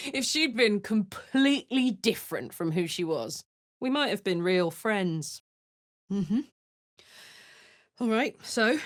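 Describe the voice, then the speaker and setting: Brash, slow-paced warrior woman. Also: lowish voice, dry recording, studio quality. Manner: earnest, salty, blunt. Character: brash, warm-hearted woman warrior escaped from the Hells. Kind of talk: video game character voice lines.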